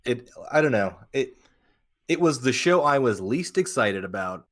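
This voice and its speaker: A man co-hosting a podcast, chatting casually and giving opinions in a halting, blunt way with some swearing. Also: mid-range voice, very animated, a bit bright. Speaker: podcast co-host.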